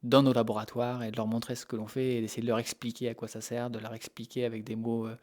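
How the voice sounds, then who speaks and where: A man's voice: medium pitch, level tone, quick pace, darkish tone. A man from Normandy answering interview questions as a researcher, informally and with hesitant, repeated words as he explains. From Normandy, France. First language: French. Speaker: interview guest, animal behaviour researcher.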